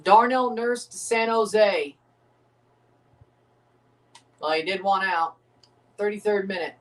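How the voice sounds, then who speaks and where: A man hosting a livestream watchalong, chatting casually and excitably, with a voice gone a little scratchy from overuse. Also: high-pitched, slow pace, far from the mic. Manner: rambling, excitable, repeats himself. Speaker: streamer hosting a match watchalong.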